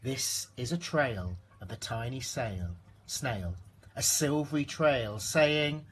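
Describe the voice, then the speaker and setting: A man reading a rhyming children's storybook aloud in an expressive narrating style. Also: mid-range voice, slow, slight background noise. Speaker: storybook reader.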